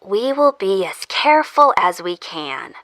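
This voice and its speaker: Actress as persistent scientist for audio fiction: clinical, matter-of-fact, bright forward tone, close dry sound. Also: female voice, medium pitch, big pitch swings, a little slow, no echo, rough recording. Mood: reassuring, clinical. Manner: matter-of-fact, with delicate hesitations. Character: clinical, persistent woman researcher studying zombie infection.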